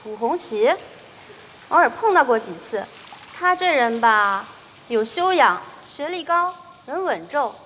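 An actress playing an earnest, bookish young factory worker who speaks thoughtfully and with measured care in a comedy drama. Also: female voice, a little high, sing-song, fairly bright tone, slight background noise. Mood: wistful. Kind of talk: screen comedy-drama acting. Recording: echoing hall, music behind.

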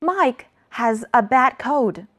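A woman's voice: on the high side, lively intonation, slow, fairly bright tone. A woman teaching children an English lesson, modeling words for the class to repeat.

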